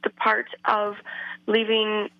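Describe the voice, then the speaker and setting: A woman's voice: mid-range voice, monotone, gravelly, dry recording. Hesitant woman caller on a lo-fi phone line. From Wisconsin, United States. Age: 30s. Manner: hesitant, many ums and pauses. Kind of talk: phoning in to a radio show.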